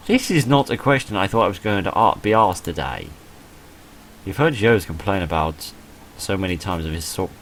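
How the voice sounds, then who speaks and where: Very deep-voiced man reading a visual novel. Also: animated, normal speed, slight background noise. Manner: dry, self-deprecating humour. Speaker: video creator playing and reading a visual novel. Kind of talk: let's play, reading game text aloud with off-script asides.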